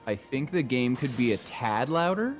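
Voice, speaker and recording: A man's voice: medium pitch, expressive, normal speed, faint music behind. A man co-hosting a game livestream, chatting casually close to his mic on a voice call. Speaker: livestream co-host. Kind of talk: casual game stream chat.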